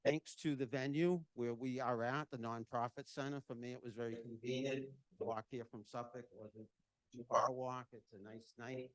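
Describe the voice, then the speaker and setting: A man with a deep, carrying voice welcoming an audience as the association's chapter president, talking loudly and chattily without a microphone. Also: steady tone, moderate pace. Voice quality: deep voice that carries. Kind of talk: welcoming remarks at a live event. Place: event room at a nonprofit center. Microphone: no microphone, recorded from the room. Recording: echoey room.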